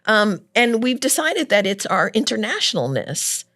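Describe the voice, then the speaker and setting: A middle-aged woman chatting as a guest on an interview show. She talks loudly and with lots of animation, and laughs easily. Her voice sits at an ordinary pitch for a woman. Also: normal speed. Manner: loud, animated, laughs often. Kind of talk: casual interview chat.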